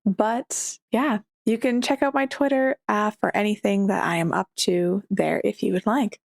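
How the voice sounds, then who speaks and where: A woman with an average-pitched voice and noticeable fry. She talks casually, as a podcast player introducing herself would. The sound is close and dry. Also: steady tone, normal speed, no room sound.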